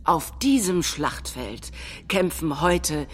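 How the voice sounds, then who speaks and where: Low-voiced woman reciting political statements. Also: very animated, slow, a little hiss or hum. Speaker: stage reader of political quotations. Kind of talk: scripted documentary theatre recitation.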